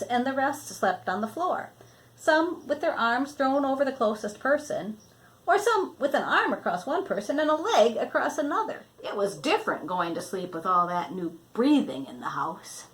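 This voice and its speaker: An older woman reads a picture book aloud in a fairly high voice. Her pitch swings widely and expressively, and she stretches words out for emphasis as she narrates. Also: low-quality recording. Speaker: children's story reader. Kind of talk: read-aloud storybook narration.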